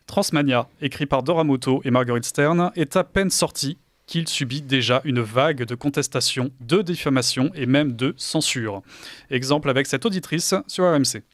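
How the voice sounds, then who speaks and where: A man's voice: mid-range voice, no echo. Male radio columnist, scripted commentary, emphatic and a touch sarcastic, dry close studio sound.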